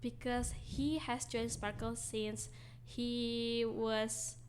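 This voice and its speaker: Indonesian woman teacher on radio, patient explainer, a bit high-pitched. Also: flat delivery, very slow, slight background noise. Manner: explains patiently, switches between English and Indonesian. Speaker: English course teacher. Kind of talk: radio interview guest.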